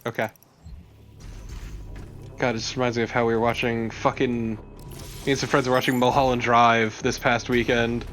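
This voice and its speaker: A man's voice: medium pitch, little pitch movement, normal speed, pauses often, fairly bright tone, slight background noise. A man co-commentating on a let's play in casual banter, wry and often swearing.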